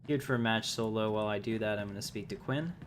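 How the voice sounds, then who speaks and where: A man's voice: fairly low pitch, fast, slight background noise. A man livestreaming a game, chatting casually and off the cuff with his viewers. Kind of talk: casual livestream gaming chat.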